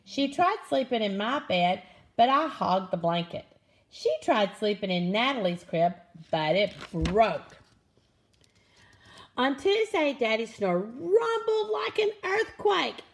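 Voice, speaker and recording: A woman reading a children's picture book aloud in an expressive, dramatic manner. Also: on the high side, slow pace. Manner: animated, expressive, with dramatic gasps and exclamations. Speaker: storybook reader.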